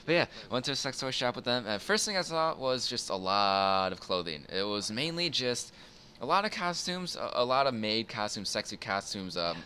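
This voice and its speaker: A man's voice: mid-range voice, very animated, moderate pace, sharp tone. A young man rambling casually through a personal story in an informal, crude, amused way. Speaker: storyteller in a gaming video. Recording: faint background noise.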